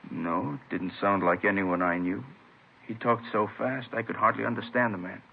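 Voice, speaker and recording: A man acting a polite, bewildered professor and worried husband, speaking haltingly and earnestly in old radio drama style. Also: fairly low pitch, steady tone, gravelly. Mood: bewildered, anxious. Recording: tinny, noisy vintage radio recording.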